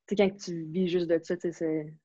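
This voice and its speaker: A young woman from Quebec with a Quebec French accent, chatting casually as an interview guest, relaxed and full of fillers and restarts. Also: lowish voice, fairly quick, slightly rough, no echo. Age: young adult. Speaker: interview guest, university hockey player. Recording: slightly dull, lo-fi sound.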